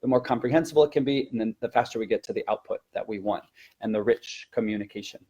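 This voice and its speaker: A man's voice: on the low side, fast talker, warm tone, slightly rough, highs cut off. A man who teaches elementary Spanish, giving an explanatory teacher-training talk in a hesitant, self-correcting way.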